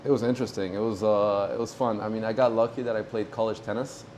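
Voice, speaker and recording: A man in his twenties from Morocco, a pro tennis player talking casually in an interview, relaxed and halting with many fillers. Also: lowish voice, slight background noise. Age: 20s.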